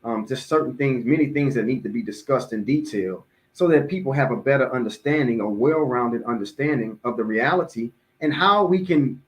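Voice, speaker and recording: A middle-aged man giving a religious public address as an imam, speaking deliberately and repeating key phrases for emphasis. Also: a little high, darkish tone, rough recording.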